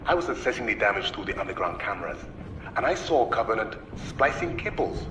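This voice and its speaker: A man's voice: on the low side, sing-song, fairly quick. An actor playing an earnest, anxious middle-aged father speaking over a radio link in an audio drama. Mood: worried. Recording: distant, echoey radio with noise.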